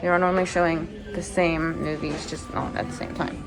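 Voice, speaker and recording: A woman's voice: deep voice, little pitch movement, fairly quick, over music, low-quality recording. A woman walking through a cruise ship, filming and chatting as she points out each spot. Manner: chatty guide pointing things out. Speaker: vlogger giving a ship tour. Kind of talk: walking tour narration.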